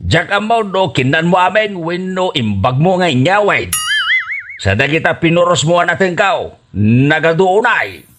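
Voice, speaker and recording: A man's voice: a little high, expressive, measured pace, a bit bright. An actor playing a stingy, grumbling older boss with an Ilocano accent, scolding his worker in a radio comedy drama. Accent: Filipino, Ilocano. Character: stingy, scolding male boss of a laborer, an elder.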